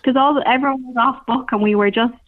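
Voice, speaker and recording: A woman's voice: a little high, fairly even intonation, fast, no room sound. A woman with an Irish accent from Cork, chatting informally and thinking aloud as a theatre director in a casual interview. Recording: very phone-like, narrow-band sound.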